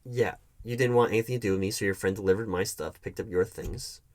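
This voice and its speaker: A man playing a hurt, defensive hiring manager in an audio roleplay, talking to his ex in a halting, sarcastic way. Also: lowish voice, natural voice. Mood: bitter, subdued. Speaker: roleplay performer. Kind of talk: one-sided audio roleplay acting.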